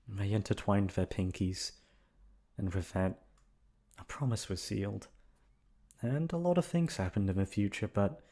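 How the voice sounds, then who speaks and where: A young adult man who works as an ASMR voice actor, talking in an unhurried, informal way. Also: lowish voice, a little mellow. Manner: self-deprecating, halting. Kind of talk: casual, rambling chat to listeners.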